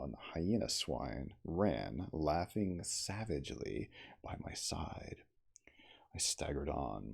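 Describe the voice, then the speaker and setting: An extremely deep-voiced man reads a story aloud in a dramatic ASMR style. His voice is husky and crackles with fry, and his deliberate phrasing rises and falls expressively. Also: a little mellow. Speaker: audiobook reader.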